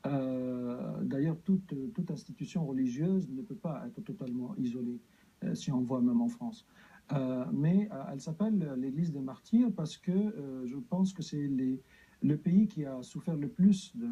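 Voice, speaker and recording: Hesitant man, very dark voice. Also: mid-range voice, husky, highs cut off. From Egypt. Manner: hesitant, many fillers and restarts. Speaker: guest. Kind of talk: TV interview answers.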